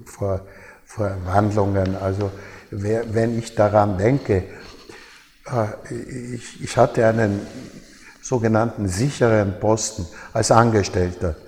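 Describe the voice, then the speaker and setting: An older man with an Austrian accent recalls his life in an interview, haltingly, often repeating and rephrasing as he goes. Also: lowish voice, fairly even intonation, a little mellow, slight background noise. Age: older, over 70. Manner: halting, repeats and reformulates phrases. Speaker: interviewee, former journalist. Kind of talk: reflective interview recollection. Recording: long reverb of a hall.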